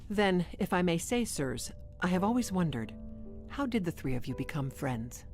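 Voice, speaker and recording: A woman playing a composed, logical female starship officer in a science fiction audio drama, formal, measured and deadpan. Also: lowish voice, faint music behind. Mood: gentle, respectful.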